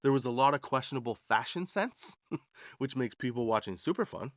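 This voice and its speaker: A man's voice: medium pitch, expressive, moderate pace, a bit bright, no echo. A man in his forties telling stories in a casual, slangy, comedic way, as a podcast host amused by his own jokes. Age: 40s. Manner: slangy, ends remarks with "dude" and "right". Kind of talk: casual comedic storytelling monologue.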